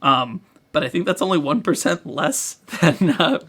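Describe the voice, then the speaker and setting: A man co-hosting a podcast, chatting casually and jokingly about films in an animated, profane, chuckling way. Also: fairly high pitch, a little slow, husky. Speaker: podcast co-host. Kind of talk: casual joking movie-review chat.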